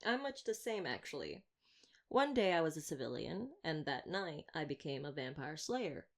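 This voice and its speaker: A woman's voice: deep voice, sing-song, normal speed, full character voice. A woman playing a wry, playfully menacing young vampire slayer in an ASMR roleplay monologue, chatty, teasing and theatrical. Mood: wry, confiding.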